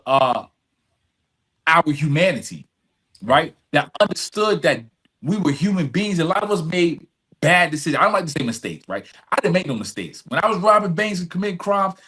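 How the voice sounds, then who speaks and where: A man's voice: sits high, expressive, brisk, a bit bright, slightly rough. A middle-aged man being interviewed, telling personal stories candidly and informally, repeating phrases for emphasis. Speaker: interview guest.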